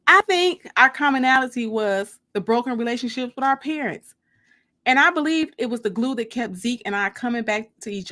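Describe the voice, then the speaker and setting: A woman giving a dramatic first-person audiobook reading in an expressive, conversational storytelling manner. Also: a little high. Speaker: author reading their novel aloud.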